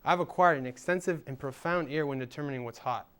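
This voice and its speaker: A man's voice: fairly high pitch, fast talker, a bit bright, smooth. A young man hosting a student show, reading upbeat scripted links and interviewing a guest. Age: young adult. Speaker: student host and presenter.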